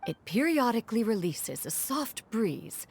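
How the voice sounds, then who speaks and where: An actress playing a proud, self-assured immortal inventor in a video game, speaking in a formal, archaic manner. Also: female voice, a little high, sing-song, a little slow, slight background noise. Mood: proud, explanatory. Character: dignified, proud immortal female adeptus inventor. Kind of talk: video game character acting.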